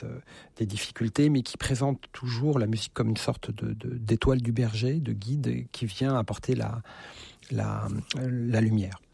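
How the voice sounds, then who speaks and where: A man's voice: medium pitch, vocal fry, darkish tone, slightly rough, dry recording. A man interviewed on radio as a conductor, speaking with many hesitations and repeated words. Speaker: conductor, festival guest.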